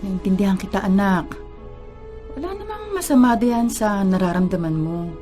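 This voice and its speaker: Woman acting caring Filipino mother, very expressive. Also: medium pitch, moderate pace, music in the background, close to an everyday voice. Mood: warm, supportive. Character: caring middle-aged mother and godmother, protective, understanding. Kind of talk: Filipino radio drama acting.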